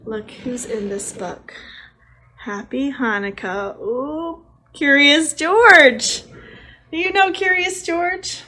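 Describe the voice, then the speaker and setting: Woman, higher-pitched voice. Children's storytime host: picture-book read-aloud, chatty asides, simple child-directed delivery. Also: takes it slowly, bright, forceful tone.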